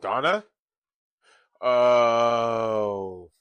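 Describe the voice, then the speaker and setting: A man playing a skeptical, reluctant buddy, the wry straight man in a comedic radio play, questioning in a deliberate way. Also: medium pitch, clear, resonant tone, dry recording, muffled recording. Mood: puzzled.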